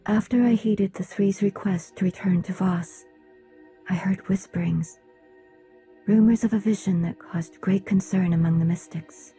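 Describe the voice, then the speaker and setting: An actress playing a solemn, reserved alien woman in a video game, speaking in a measured, formal way. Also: mid-range voice, steady tone, dark tone, slightly rough, soft background music. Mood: grave, formal. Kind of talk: video game cutscene dialogue.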